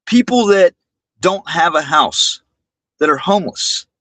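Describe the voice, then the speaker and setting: A high-voiced man speaks indignantly to his livestream viewers. His delivery is slow and halting, with words often repeated. The sound is close and dry. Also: lively intonation, pushed and bright, no echo. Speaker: livestream host.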